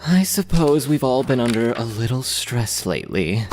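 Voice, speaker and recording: A man's voice: mid-range voice, very animated, normal speed, a little mellow, a little hiss or hum. A man acting a fussy, polite, reserved shop assistant in an audio drama, speaking hesitantly. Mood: hesitant, concerned.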